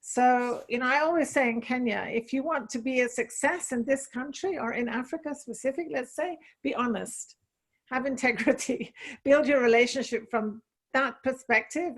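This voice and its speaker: A woman's voice: on the high side, moderate pace. A woman speaking earnestly on a video call, giving advice in an online group discussion and laughing lightly between points. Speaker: panelist.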